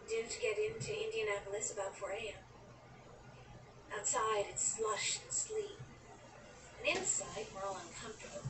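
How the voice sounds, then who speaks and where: Actress as wistful girlish young woman in audio drama, high voice, roomy noisy recording. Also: distant mic, natural voice. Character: wistful, dreamy young woman narrating her own story. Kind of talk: audio drama acting with first-person narration.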